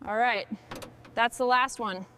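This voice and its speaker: A woman's voice: a little high, steady tone, unhurried, lightly acted. A woman playing a determined heavy truck restorer, giving terse, scripted work chatter to herself and her crew. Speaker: mechanic. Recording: noisy, with vehicle and machinery sounds.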